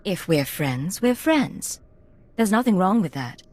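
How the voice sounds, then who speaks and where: An actress playing a composed secret agent in a video game, speaking in a controlled, understated, quietly confident way. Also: female voice, medium pitch, very animated, measured pace, dry recording. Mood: reflective, steady.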